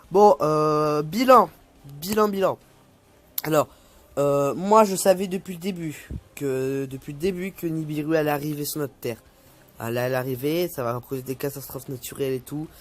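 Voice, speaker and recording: A young man vlogging casually, reading an article aloud and stumbling over words, with many fillers. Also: a little high. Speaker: youtuber.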